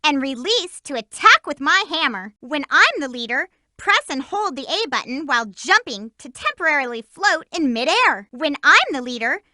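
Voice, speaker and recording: A woman's voice: high voice, sing-song, moderate pace, hardly any pauses, sharp tone, no echo, studio quality, exaggerated voice. A woman voicing a peppy, lovestruck young female hedgehog heroine for a video game, bubbly and bossy with chirpy exclamations. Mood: instructive. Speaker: voice actress. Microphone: studio mic.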